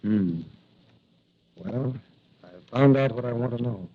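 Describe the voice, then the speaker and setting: A man's voice: medium pitch, slow pace. An actor playing a brave, determined young patrolman who secretly fights crime, in 1940s radio drama style. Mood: concerned, resolute. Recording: tinny, band-limited old radio recording.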